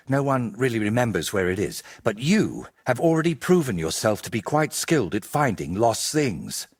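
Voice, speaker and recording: An actor playing an ambitious, vain medieval painter in a video game, speaking in an articulate, theatrical and persuasive way. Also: male voice, fairly low pitch, breathy edge, exaggerated voice. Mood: proud, persuasive. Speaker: painter character. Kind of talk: video game cutscene dialogue.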